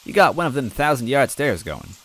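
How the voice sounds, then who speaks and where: A man's voice: mid-range voice, big pitch swings, a little fast, fairly bright tone, voice pushed up, poor audio. A man voicing a cheery, grinning male bean-man who sells beans from a cart, talking in a chirpy, folksy, friendly way.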